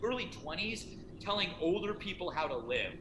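Middle-aged male author, rambling self-deprecating talker, somewhat high voice, echoey noisy room. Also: sing-song, normal speed. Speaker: author promoting a self-help book. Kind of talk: casual conversation. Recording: echoey room with noticeable noise.

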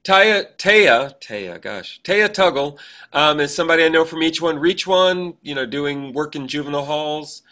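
Bright, higher-voiced man chatting casually. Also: lively intonation, moderate pace. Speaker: podcast co-host. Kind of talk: casual chat, reminiscing.

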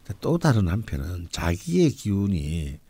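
A man's voice: sits low, very animated, normal speed, mellow, slightly rough, no echo. A man explaining a fortune reading in a conversational radio talk, with an explanatory, emphatic manner. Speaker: fortune-telling (myeongri) commentator.

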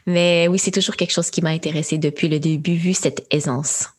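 A young woman, answering interview questions in a thoughtful, measured way with frequent pauses and fillers. Her is Khmer. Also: sits low, fairly even intonation, husky. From Quebec, Canada. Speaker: guest, AI entrepreneur. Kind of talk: interview conversation.

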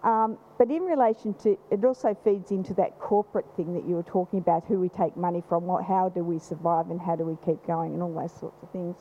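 A woman with a loud voice, speaking from the audience at a panel event, rambling with many restarts. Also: on the low side, steady tone, a little fast, hardly any pauses. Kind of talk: unscripted comment from the floor. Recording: slightly dull live event recording.

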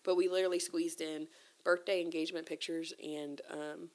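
A woman's voice: on the low side, level tone, normal speed. A woman guest talking casually and candidly, telling a personal story in conversation. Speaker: interview guest.

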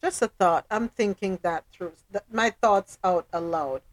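Measured woman hosting a news discussion. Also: medium pitch, a little hiss or hum. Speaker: host. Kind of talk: news discussion, commentary and reading reports.

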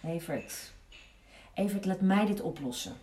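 An actress playing an uneasy, hesitant newspaper editor on the defensive in an audio drama. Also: female voice, on the low side, expressive, measured pace, mellow. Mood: uneasy, appalled. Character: uneasy newspaper editor woman, cornered and placating. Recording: echoey room, distant, faint noise.

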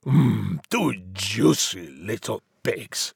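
A man's voice: on the low side, expressive, extremely slow, vocal fry, slightly rough, no echo, studio quality, heavily acted. A man voicing a gluttonous, menacing storybook wolf who gloats and savours his words. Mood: gloating, greedy. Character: gluttonous, menacing, self-satisfied male big bad wolf. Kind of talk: animated storybook character acting.

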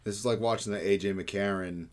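A man with a very low voice co-hosts a casual sports podcast. He talks in a laid-back, measured way as he breaks down the week's games. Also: steady tone, crowd noise. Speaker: podcast co-host.

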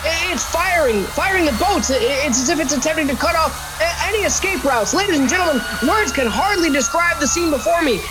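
A man playing an excitable, stammering, bumbling field reporter in a spoof of 1930s radio news, given to breathless melodrama. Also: very high voice, fairly quick, few pauses, rough recording. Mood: awed, frantic.

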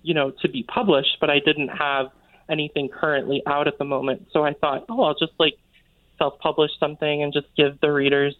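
A man's voice: fairly high pitch, level tone, brisk, dry recording. An American man from Connecticut, a guest author talking casually and informally in an interview, with lots of filler "like". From Connecticut, United States. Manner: informal, peppers speech with "like". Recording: narrow, tinny phone-like line.

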